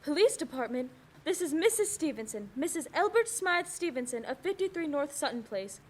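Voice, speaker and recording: A woman's voice: high voice, big pitch swings, moderate pace. A woman playing a fretful, haughty bedridden wife in a suspense radio play, agitated and insistent.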